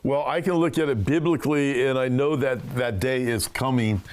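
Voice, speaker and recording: A man's voice: mid-range voice, moderate pace, darkish tone, clear, resonant tone. A man, a pastor hosting a show, asking long earnest questions full of fillers. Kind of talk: interview conversation.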